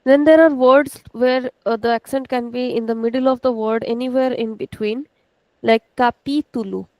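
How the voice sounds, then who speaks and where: A woman with an Indian English accent teaching an online language lesson, slowly repeating words to show their stress. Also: fairly high pitch, steady tone, a little mellow, no echo, rough recording. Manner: slow, drawn-out demonstration of pronunciation, repeating words; switches into rapid Hindi asides.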